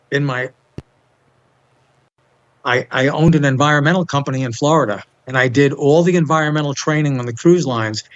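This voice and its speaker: A man's voice: mid-range voice, a bit bright, dull audio. A man in his seventies from Long Island with a New York accent, chatting as an interview guest and wandering through his memories. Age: 70s. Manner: rambling, restarts and searches for names. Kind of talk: casual interview.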